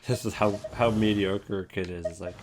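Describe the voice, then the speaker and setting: A man's voice: fairly low pitch, fairly even intonation, moderate pace, background noise. A man hosting a casual ranking video with wry, offhand commentary. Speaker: video host.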